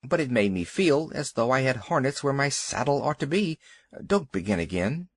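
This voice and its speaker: A man's voice: mid-range voice, big pitch swings, normal speed, no echo. A man voicing a proud male cavalry horse who talks in a lofty, clipped way. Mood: haughty.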